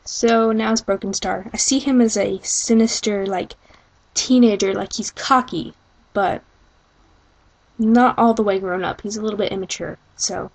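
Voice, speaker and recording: A woman's voice: medium pitch, steady tone, normal speed, creaky, low-quality recording. A young woman introducing amateur voice auditions in a casual, self-deprecating, rambling way.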